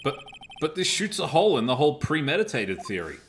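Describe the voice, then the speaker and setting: Bright, animated defense attorney played by a man. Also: a little high, fast talker, a little hiss or hum. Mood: protesting. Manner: emphatic, argumentative. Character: earnest, excitable young defense attorney, quick to object. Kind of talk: live fan dub of a courtroom video game.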